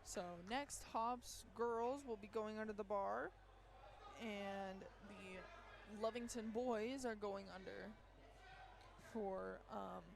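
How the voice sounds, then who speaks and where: A woman announcing a school powerlifting meet live, calling lifts in a halting way with pauses and fillers, her voice strained from yelling the day before. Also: medium pitch, vocal fry.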